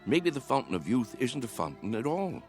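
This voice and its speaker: Dreamy old man in radio drama, musing and fervent, middle pitch, bit of music behind. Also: very animated, moderate pace, darkish tone. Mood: searching, musing. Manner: earnest, musing, insistent.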